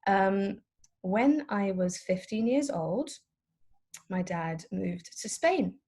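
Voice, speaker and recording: Husky-voiced Dutch woman, informal talk. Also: medium pitch, lively intonation, slow pace, warm tone. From Netherlands. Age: around 30. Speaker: personal trainer and business owner. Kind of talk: informal career talk to young people.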